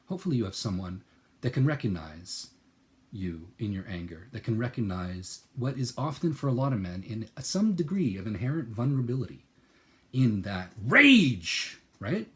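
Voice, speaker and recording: Earnest man thinking aloud, low voice. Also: sing-song, moderate pace. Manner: earnest, searching, repeats and restarts words while thinking aloud; swings between soft lowered phrases and sudden loud emphatic bursts. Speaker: channel host. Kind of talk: personal reflective monologue.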